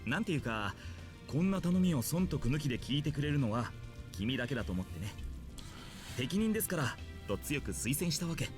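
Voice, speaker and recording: An actor playing an easygoing, gently teasing male schoolteacher in a Japanese visual novel. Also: mid-range voice, very animated, very fast, over music, close to an everyday voice. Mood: easygoing explaining.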